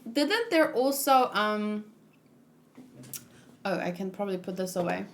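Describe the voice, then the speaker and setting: Woman streamer w/ high voice, unhurried, rambles casually, pitch goes all over. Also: animated, slight background noise. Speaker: streamer reading manga aloud and chatting with viewers. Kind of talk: casual live read-along with commentary.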